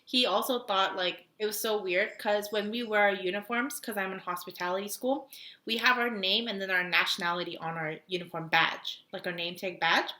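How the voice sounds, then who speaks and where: A woman's voice: medium pitch, steady tone, brisk, sharp tone, roomy sound. A young woman from Korea telling stories in a casual podcast chat, full of "like" fillers and laughter. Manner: chatty storytelling with frequent laughs and "like" fillers. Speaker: podcast co-host.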